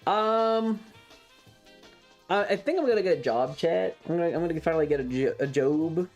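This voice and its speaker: A young adult streamer talks off the cuff to a live chat. The voice sits at a medium pitch, and the delivery wanders, doubling back on phrases with hesitation. Music plays underneath. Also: lively intonation, normal speed, darkish tone. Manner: rambling, hesitant, repeats phrases. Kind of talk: casual livestream chat.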